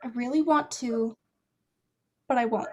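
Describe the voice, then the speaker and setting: A woman's voice: on the high side, a little slow. A young woman in a staged play reading, acting a guarded, lovesick girl with a hesitant, confessional delivery. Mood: subdued.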